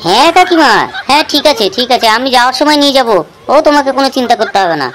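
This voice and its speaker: Woman doing a cheeky high-voiced young prankster lad. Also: animated, rapid-fire, noisy background. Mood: mock innocent. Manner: slangy, bantering. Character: cheeky young male village prankster, teasing and scheming. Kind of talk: comedy cartoon voice acting.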